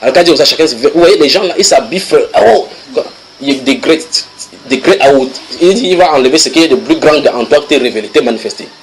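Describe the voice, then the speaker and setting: A man preaching a sermon to a congregation, reading English passages aloud and translating them with lively asides to his listeners. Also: a little high, fast, slight background noise, rough recording. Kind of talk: sermon teaching.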